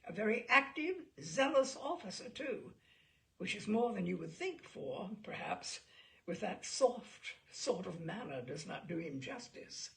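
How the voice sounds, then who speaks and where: A woman's voice: medium pitch, very animated, a little slow, vocal fry. A woman voicing a bluff, hearty, chatty older male admiral in an audiobook, blustery and rambling with emphatic delivery. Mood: hearty, assured. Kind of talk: audiobook character dialogue.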